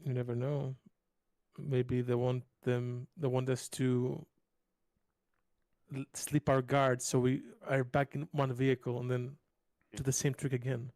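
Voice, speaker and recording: A man's voice: mid-range voice, flat delivery, measured pace, darkish tone, no room sound. A man talking casually in character as a player in a tabletop roleplaying game.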